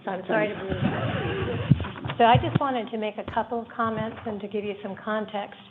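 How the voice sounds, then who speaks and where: A soft-voiced woman giving an earnest public comment at a board meeting, explaining her points with examples. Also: mid-range voice, fairly even intonation, fairly quick, a little hiss or hum. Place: meeting room. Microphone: room microphone. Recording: tinny phone-like meeting audio.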